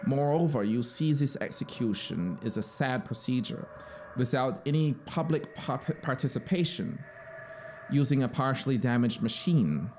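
A man's voice: mid-range voice, animated, normal speed, darkish tone, slight background noise, close to an everyday voice. A man playing a zealous, scheming penal colony officer in a story, emphatic and persuasive. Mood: confiding, suspicious. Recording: clear background synthesizer ambience.

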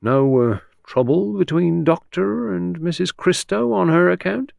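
Audiobook role: canny, gruff male detective, acted by a narrator, creaky, confiding and probing, very close dry sound. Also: sits high, measured pace, a little mellow, no echo, dull audio, voice pushed up, heavily acted. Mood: sharp questioning. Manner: easy, confidential, probing, grunting at times. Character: shrewd, probing male police inspector, gruff yet confidential. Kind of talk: audiobook character reading.

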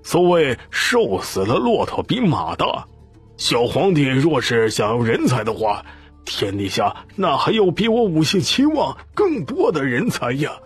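A man voicing a genial old nobleman in an audiobook, patient and persuasive, with drawn-out, coaxing phrases and sighing interjections. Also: mid-range voice, big pitch swings, slightly rough, faint music behind, pitched above the performer's own voice, exaggerated voice.

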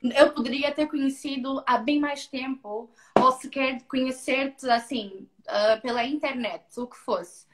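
A woman's voice: high voice, fairly even intonation, a little slow. A young Angolan woman with a slightly Brazilian-tinged Portuguese accent, speaking frankly and repeating words for emphasis as a guest in a live video-call interview. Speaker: guest, former beauty queen. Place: indoors, seated before a stone wall. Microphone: video call from a laptop. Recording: roomy video call audio.

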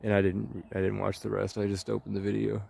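A man in his twenties giving a slow, rambling monologue in short, halting phrases while walking outdoors. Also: lowish voice, completely flat delivery, vocal fry, a little mellow, slightly rough. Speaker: vlogger. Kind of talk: slow rambling monologue, ASMR-style walk.

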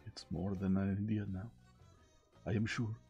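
A man's voice: fairly low pitch, little pitch movement, a little slow, mellow, highs cut off, faint music behind. A man voicing a vain, precise middle-aged detective with a French accent who slips in French phrases. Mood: insistent.

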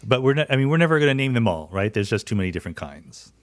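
A man's voice: medium pitch, very animated, racing, no room sound, studio quality. A middle-aged man hosting a podcast, asking curious, casual questions with fillers. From United States. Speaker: podcast host. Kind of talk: conversational science interview.

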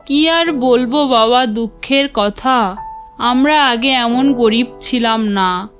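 A woman playing a poor widowed village mother in a cartoon moral tale, plaintive and gentle. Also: a little high, slow, faint music behind. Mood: sorrowful.

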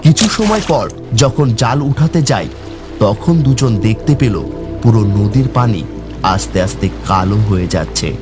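A man's voice: medium pitch, lively intonation, moderate pace. A man narrating a scripted horror tale as a storyteller. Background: music, cricket sounds.

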